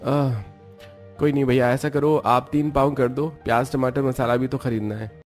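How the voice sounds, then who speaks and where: A man voicing a poor village labourer and fond, grumbling father in expressive cartoon dialogue. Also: medium pitch, normal speed, faint music behind, close to an everyday voice. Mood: haggling.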